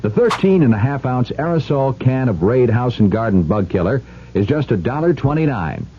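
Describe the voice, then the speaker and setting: A man reads an old radio commercial as a folksy spokesman. His medium-pitched voice moves up and down in a lively way, on a muffled recording with faint noise. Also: moderate pace. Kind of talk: 1970s radio ad read.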